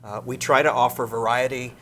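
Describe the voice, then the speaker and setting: A man speaking as an interview guest and arts director, explaining things in measured phrases. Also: mid-range voice, steady tone, a bit bright. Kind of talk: interview talk about a concert program. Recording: clear background noise with hum.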